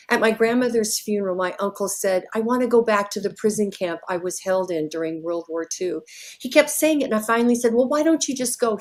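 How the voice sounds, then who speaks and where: A woman's voice: medium pitch, a little mellow. A woman from Wyoming, telling her life story on a video call in a reflective, heartfelt way. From Wyoming, USA. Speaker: guest, graphic designer. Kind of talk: personal storytelling.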